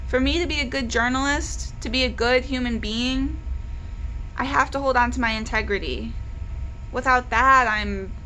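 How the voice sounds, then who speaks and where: A woman voicing an earnest, weary, principled journalist father in a read-aloud children's novel, explaining things in a measured way. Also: sits high, bright, forceful tone, a little hiss or hum, poor audio.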